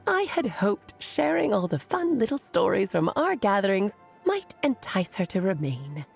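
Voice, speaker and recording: A woman's voice: medium pitch, big pitch swings, normal speed, vocal fry, breathy edge, soft background music, exaggerated voice. A woman voicing an elegant, gracious storytelling witch in a fantasy video game, refined and a little theatrical. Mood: gracious, reflective.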